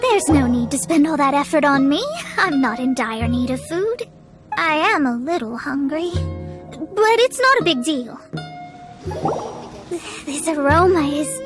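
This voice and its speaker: A woman's voice: high-pitched, animated, a little slow, pauses often, music in the background. A woman playing a proud, pompous young female astrologist in a video game, speaking in formal, theatrical phrases. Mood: proud, reluctant. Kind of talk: scripted video game acting.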